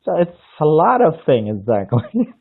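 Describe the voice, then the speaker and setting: A man from Indonesia, speaking English with an Indonesian accent in casual chat, halting and restarting often as he searches for words. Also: on the high side, huge pitch swings, husky. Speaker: language learner on a live video call. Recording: tinny phone-like line.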